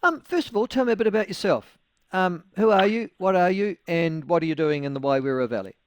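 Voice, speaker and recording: Wry New talk-radio host. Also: male voice, high-pitched, expressive, a little fast, a little hiss or hum. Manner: wry, conversational, leading questions.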